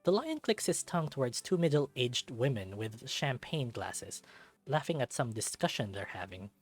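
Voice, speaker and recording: A man's voice: mid-range voice, big pitch swings, moderate pace. A man reading a first-person story aloud in a dramatic narrating style, as for a visual novel.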